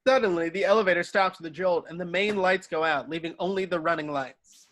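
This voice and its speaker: A man's voice: high voice, a little fast, fairly bright tone, no echo. A man narrating scripted stage directions for a table read.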